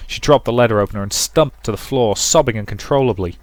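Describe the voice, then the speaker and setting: A man's voice: mid-range voice, fast. A man reading a visual novel aloud as dramatic storybook narration for a let's play.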